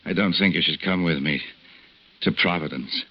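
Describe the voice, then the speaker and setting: A man's voice: sits low, normal speed. An actor playing a troubled, confused married man haunted by bad dreams, in 1940s radio style. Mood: subdued. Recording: muffled vintage radio recording with music.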